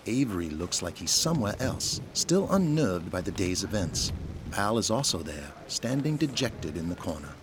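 A man's voice: sits low, very animated, normal speed. A man reading scripted narration for an audio drama, with noise behind him. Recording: clear background noise.

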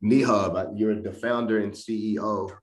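A man's voice: fairly low pitch, steady tone, normal speed. A man from North Carolina hosting a casual interview, encouraging his guest and jumping in with questions. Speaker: interview host.

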